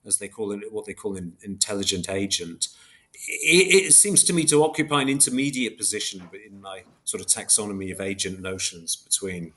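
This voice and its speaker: A man's voice: fairly low pitch, expressive, a little fast, a bit bright. A man speaking as an academic interview guest, thinking aloud in a hesitant, self-correcting, reflective way. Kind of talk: thoughtful interview discussion.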